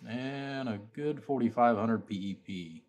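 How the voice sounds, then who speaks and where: A man's voice: medium pitch, a little slow, background noise. A man informally presenting a demonstration as a builder and seller, rambling along with frequent repeated filler phrases. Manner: rambling, repeats "you know" and "like I said". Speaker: amplifier builder and seller presenting his work.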